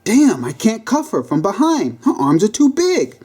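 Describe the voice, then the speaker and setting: A fed-up male cop played by a man telling a story, pitched up and forceful. He barks orders with exasperated impatience, like a street officer at the end of his patience. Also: big pitch swings, slow, exaggerated voice. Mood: impatient. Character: impatient, disgusted male city cop barking at a suspect.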